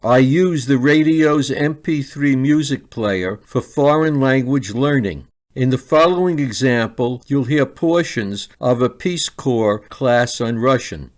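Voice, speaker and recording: A man reading a scripted, step-by-step product review in a measured, instructional manner. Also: mid-range voice.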